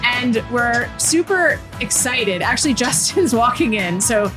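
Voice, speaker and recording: A woman's voice: on the high side, expressive, a little slow, music in the background, rough recording. A woman hosting a live podcast, enthusiastic and talkative as she introduces her guest. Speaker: podcast host.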